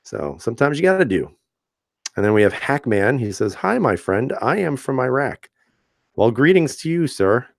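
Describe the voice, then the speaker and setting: A man hosting a casual live stream, reading viewer comments aloud and answering in a relaxed, chatty way with frequent fillers and easy laughs. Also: medium pitch, moderate pace, creaky, dry recording, studio quality. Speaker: channel host reading live chat.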